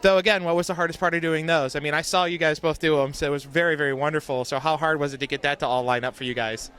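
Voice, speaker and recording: A cheerful male interviewer at a convention with a notably high voice. He talks fast and moves briskly from one question to the next. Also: fairly even intonation, a bit bright, smooth. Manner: quick and cheerful, says "alrighty" between questions. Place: at a fan convention.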